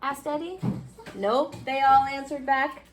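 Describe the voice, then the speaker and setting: A woman reading a picture book aloud to a class, expressively, pausing to question her young listeners. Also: high-pitched, fairly even intonation. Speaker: children's storybook reader. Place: indoor school classroom. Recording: clearly noisy classroom recording.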